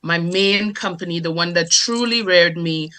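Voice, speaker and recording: Grenadian woman guest, lower pitch, bright and clear, articulate public-speaking delivery. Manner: articulate, measured, presentational delivery. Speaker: guest, dancer and performer. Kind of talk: interview storytelling.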